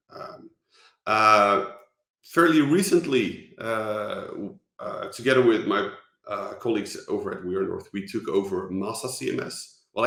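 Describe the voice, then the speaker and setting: A man from the Netherlands with a Dutch accent, giving an online conference talk, halting with many fillers and restarts. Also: on the low side, vocal fry, fairly bright tone, echoey room. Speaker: conference speaker.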